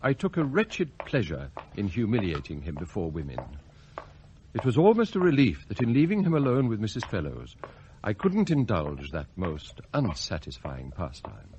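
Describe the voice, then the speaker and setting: An actor playing an outwardly loyal but bitter, plotting butler in a radio drama, speaking in formal, precise words. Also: male voice, fairly low pitch, huge pitch swings, warm tone, dull audio, slight background noise. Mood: cold, bitter. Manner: formal, precise, measured wording. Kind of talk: radio drama acting with confiding narration.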